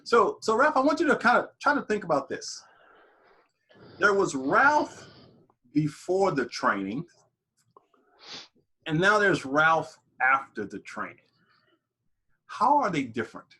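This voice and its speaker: Male host, husky, somewhat high voice, thoughtful interviewer. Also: big pitch swings, unhurried, long pauses, pushed and bright, rough recording. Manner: thoughtful, probing questions. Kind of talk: interview conversation.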